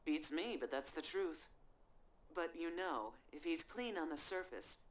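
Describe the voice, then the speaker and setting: Cool female investigator, lowish voice with lively inflection, blunt and wry, game cutscene delivery. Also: a little slow. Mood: cool, analytical. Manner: matter-of-fact, wry. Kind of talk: English-dubbed video game acting.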